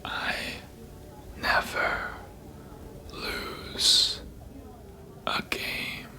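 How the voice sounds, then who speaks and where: An actor playing a seductive, manipulative vampire in a hushed, breathy ASMR roleplay whisper, teasing and deliberate, close to the microphone. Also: exaggerated voice. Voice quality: breathy whisper and low murmur. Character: seductive, manipulative elder vampire toying with a fledgling.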